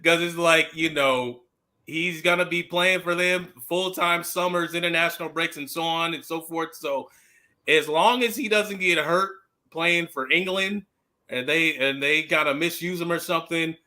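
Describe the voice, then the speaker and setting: A man in a casual sports chat, talking as a fan panelist in an animated, slangy way with emphatic repetition. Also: fairly high pitch, normal speed. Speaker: football fan podcast panelist.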